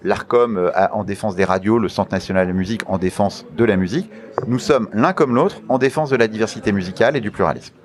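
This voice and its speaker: A man's voice: on the low side, fast. A man with a French accent answering interview questions as an official, formal and explanatory, feeling his way through phrases with repeated words. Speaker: media regulator official being interviewed. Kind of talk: radio interview, explanatory institutional talk.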